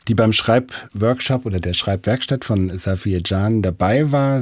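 A man moderates an interview in a measured way. His voice is on the low side and distinctly husky, recorded very dry and close. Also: darkish tone, dry recording. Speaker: interviewer and host. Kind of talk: measured spoken moderation and questions.

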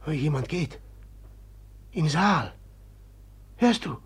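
An actor playing a haunted, brooding murderer in a German literary radio drama, halting, secretive and dazed, with a husky, breathy voice. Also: male voice, a little high, big pitch swings, full character voice. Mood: haunted, fearful. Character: haunted, brooding murderer, feverishly confiding in his friend.

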